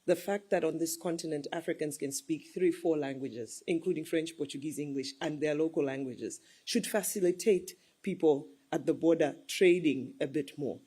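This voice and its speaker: A woman's voice: low voice, moderate pace, few pauses, mellow, breathy edge. A woman speaking as a panelist in a discussion, earnest and persuasive, building her case with examples.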